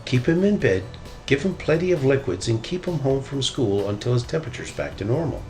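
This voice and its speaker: Man doing a brisk, animated bear doctor. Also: mid-range voice, soft background music. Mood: brisk, instructive.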